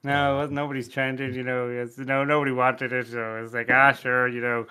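A man's voice: mid-range voice, flat delivery, fairly quick, bright, forceful tone, clean tone. Blunt, jokey man in casual chat. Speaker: podcast panelist.

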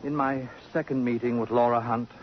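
An actor playing a vain, acid-tongued middle-aged columnist with pompous, precise diction in a 1950s radio drama. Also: male voice, medium pitch, fairly even intonation, a little fast, close to an everyday voice. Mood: wistful, self-important. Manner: pompous, precise, sardonic, elaborate phrasing. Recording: muffled, noisy 1950s radio broadcast.

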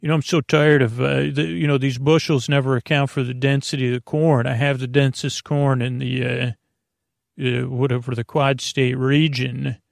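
Complaining farmer voiced by a man, rambling, gravelly, mid pitch. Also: level tone, normal speed, no echo, studio quality, natural voice. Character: grumbling old-time farmer, proud of his corn.

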